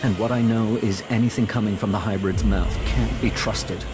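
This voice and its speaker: A man acts out a wary outlaw enforcer in a video game. He speaks bluntly in a low voice, pushy and threatening, over loud music. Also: fairly even intonation, normal speed, lightly acted. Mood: warning, dismissive. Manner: blunt, profane.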